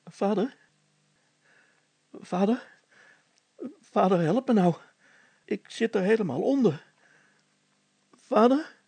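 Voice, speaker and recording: A man's voice: sits high, animated, takes it slowly, creaky, long pauses, warm tone, breathy edge, dry recording, pitched above the performer's own voice, heavily acted. A man voicing an anxious, meek young son in a storybook. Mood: pleading.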